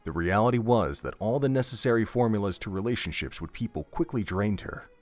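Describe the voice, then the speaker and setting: A man's voice: on the low side, lively intonation, fairly quick, dry recording, faint music behind. A man reading scripted narration for an audio drama, telling the story in prose.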